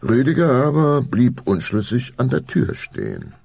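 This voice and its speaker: A man's voice: mid-range voice, huge pitch swings, moderate pace, gravelly, a little mellow, breathy edge, no echo, muffled recording. A man narrating a scripted children's story as the storyteller of an audio drama.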